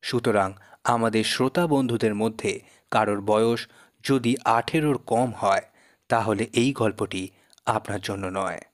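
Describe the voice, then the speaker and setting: Low-voiced male announcer. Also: moderate pace. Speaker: channel announcer. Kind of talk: scripted channel announcement.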